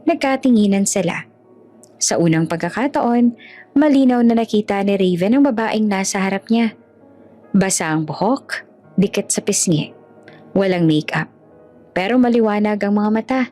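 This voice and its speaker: Lady narrator, mid pitch, dramatic romance storytelling, music playing behind her. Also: unhurried, long pauses, warm tone. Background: background music.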